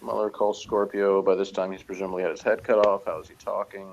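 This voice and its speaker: Gravelly low-voiced man, rambling casually. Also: flat delivery, fast talker, a little mellow, lo-fi sound, slight background noise. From outside Britain. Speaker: co-reviewer of a TV series. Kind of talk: casual unscripted review chat.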